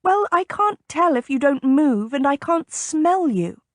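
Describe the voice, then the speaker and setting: A woman raising her voice to play a spirited young noblewoman with a high, lilting voice. She sounds sharp-tongued and lively. The close, dry reading keeps her right at the ear. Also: slow, no echo. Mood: tart. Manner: pleading or snappish, lilting.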